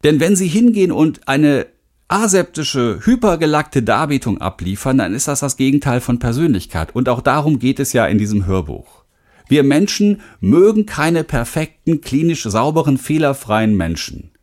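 Middle-aged male speaking coach narrating his own audiobook: candid, emphatic, direct to listener, very animated intonation. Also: mid-range voice, normal speed. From Rhineland, western Germany. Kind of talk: self-help audiobook narration.

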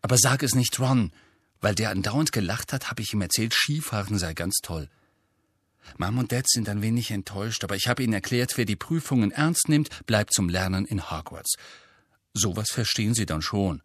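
A male narrator voicing a brisk, sensible, bossy teenage schoolgirl with a lecturing manner in an audiobook. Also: fairly low pitch, lively intonation, dry recording, clean studio sound. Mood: brisk, cheerful.

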